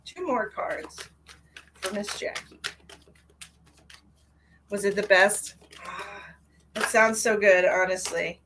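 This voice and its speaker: A woman, congested, chatting warmly with viewers during a live tarot reading. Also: mid-range voice, steady tone, slow pace, pauses often, background noise. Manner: chatty and affectionate, addresses viewers directly. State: stuffy, congested. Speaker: psychic tarot reader.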